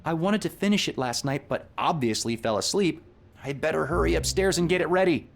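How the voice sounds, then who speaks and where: An actor playing an earnest, excitable young rocket inventor in an adventure game, with a boyish, easily flustered, eager manner. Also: male voice, fairly high pitch, fairly quick, vocal fry. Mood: anxious.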